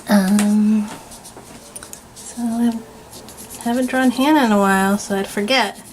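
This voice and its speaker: A young adult woman, an author and illustrator, calmly explains her work while showing visitors around her art studio. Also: medium pitch, low-quality recording. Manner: unhurried, explanatory. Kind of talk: casual studio tour. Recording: noticeable background noise.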